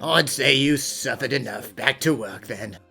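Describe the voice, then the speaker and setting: A man's voice: mid-range voice, measured pace, gravelly, sharp tone, breathy edge, heavily acted. A man voicing a blunt, exasperated police inspector in an anime fan dub, scolding and incredulous, with music underneath. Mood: softened.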